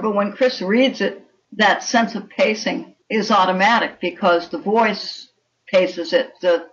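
An older woman speaking as a writer in an interview, opinionated and deliberate in her conversational delivery. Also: medium pitch, dull audio.

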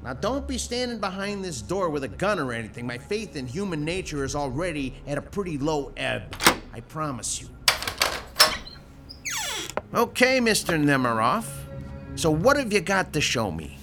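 A man's voice: fairly high pitch, very animated, moderate pace, music in the background. An actor playing a grouchy, sarcastic male landlord who grumbles and talks bluntly, in an audio drama. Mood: grumpy.

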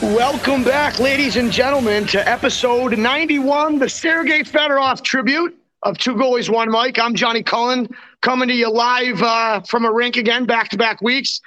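A man's voice: extremely high pitch, steady tone, moderate pace, sharp tone, low-quality recording. A man hosting a hockey podcast, talking casually and in slang like a chummy former player. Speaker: podcast host. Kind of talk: casual sports podcast talk.

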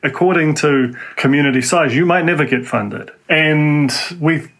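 A man with a New Zealand accent hosting an interview podcast, talking in halting bursts with many restarts. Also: a little high, a bit bright. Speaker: podcast host.

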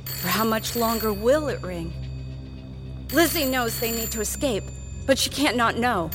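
A woman's voice: fairly high pitch, lively intonation, measured pace, music in the background. A woman narrating a children's drama in a scripted, suspenseful and emphatic storytelling style.

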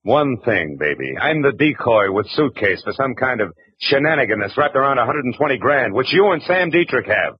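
An actor playing a wisecracking hard-boiled private eye who narrates and needles suspects in a tough, sardonic manner, in a 1940s radio drama recording. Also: male voice, medium pitch, big pitch swings, normal speed, hardly any pauses, husky, no echo. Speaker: narrator and lead. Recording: hissy, dull old radio recording.

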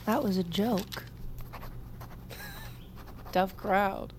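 A woman's voice: mid-range voice, wildly swooping pitch, drawn-out words, slight background noise, heavily acted. A young woman playing a wisecracking, sarcastic army private in custody in an audio drama. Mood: sarcastic, flippant. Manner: sarcastic, flippant, joking. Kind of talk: naturalistic audio fiction acting.

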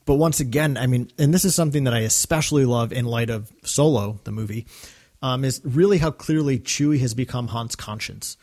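Casual male podcast co-host, medium pitch. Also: fairly quick, darkish tone. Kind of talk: casual fan discussion.

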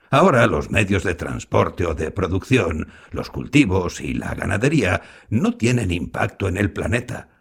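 An actor playing a kindly old grandfather scientist in an audio drama, patiently explaining things to a child with a gravelly, husky voice. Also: sits low, exaggerated voice. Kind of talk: scripted audio drama dialogue.

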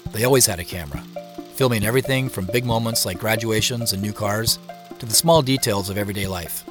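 A man's voice: on the low side, natural voice. An actor playing a reflective, curious American man in his forties, narrating a family mystery in scripted first person. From Seattle, United States. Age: 40s.

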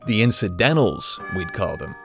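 A man's voice: fairly low pitch, sing-song, moderate pace, gravelly, a bit bright, faint music behind, full character voice. An actor playing a retired, conscience-stricken corporate executive, an older man reminiscing in a documentary interview. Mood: troubled, reflective.

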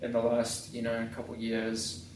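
Tattoo artist, off-mic. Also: male voice, mid-range voice, monotone, a little mellow, far from the mic, a little hiss or hum. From New Zealand. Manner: halting, many restarts and fillers. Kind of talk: casual interview conversation. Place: tattoo shop. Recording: echoey room, a bit off-mic.

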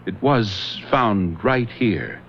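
An actor playing a shrewd police lieutenant in a radio drama, calm, probing and authoritative. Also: male voice, on the low side, drawn-out words, vocal fry, full character voice. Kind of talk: 1970s radio drama acting. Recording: dull old radio broadcast recording, faint hiss.

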